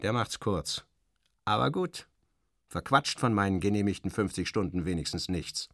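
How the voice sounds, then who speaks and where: A man's voice: fairly low pitch, expressive, moderate pace, fairly bright tone, no room sound, clean studio sound. An actor playing a sardonic, self-assured journalist, narrating his travels in a literary radio drama with wry, theatrical, clipped phrasing. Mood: approving, dry. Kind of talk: literary first-person narration, dramatic audio reading.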